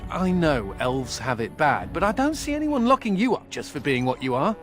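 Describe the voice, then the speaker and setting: Wry, higher-voiced runaway mage. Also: male voice, big pitch swings, moderate pace, faint music behind. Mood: bitter, indignant. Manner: sardonic, glib, self-amused. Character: wry male mage and escaped prisoner, playful yet bitter. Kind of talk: video game character dialogue.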